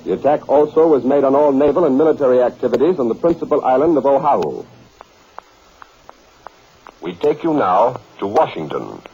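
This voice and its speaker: A man announcing a special news bulletin on the radio in a crisp announcer style. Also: medium pitch, big pitch swings, normal speed, pauses often. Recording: lo-fi 1940s radio broadcast.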